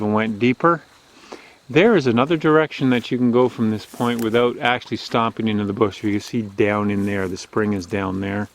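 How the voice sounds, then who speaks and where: A man with a strong, loud voice hosting a walking video outdoors on an overgrown logging road, chatty and rambling as he narrates, recorded on a handheld camera. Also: medium pitch, clean tone. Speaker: channel host. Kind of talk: casual walking vlog narration. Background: faint outdoor nature ambience.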